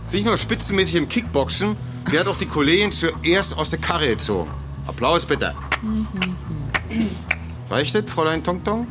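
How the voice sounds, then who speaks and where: An actor playing a brash, foul-mouthed, sarcastic police shift leader in a radio drama, gruff and commanding. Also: male voice, on the high side, very animated, moderate pace, pauses often, over music. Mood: sarcastic, bossy. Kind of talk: German radio drama acting.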